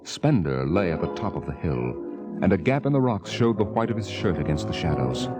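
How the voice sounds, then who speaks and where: Low-voiced male radio narrator over music. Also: very animated. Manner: measured, dramatic, literary delivery. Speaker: host and narrator. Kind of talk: 1950s radio drama narration, scripted. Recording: vintage 1950 radio recording.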